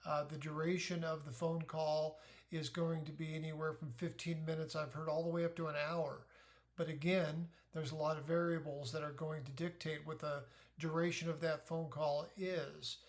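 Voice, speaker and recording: A middle-aged man giving an informal explainer as a parent sharing advice, deliberate and methodical in how he lays things out. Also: fairly high pitch, flat delivery. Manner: deliberate, methodical, poses questions then answers them.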